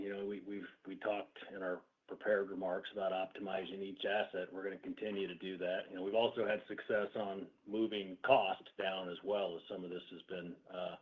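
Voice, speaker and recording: Male business executive, lowish voice, giving unscripted answers on an earnings call, thin telephone sound. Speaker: company executive answering questions. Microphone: conference call line. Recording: narrow, phone-like call audio.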